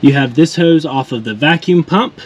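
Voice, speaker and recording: Relaxed man talking through his car build. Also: fairly high pitch, moderate pace, slight background noise. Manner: relaxed, self-deprecating, explains while working. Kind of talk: casual how-to walkthrough. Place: garage and inside a truck.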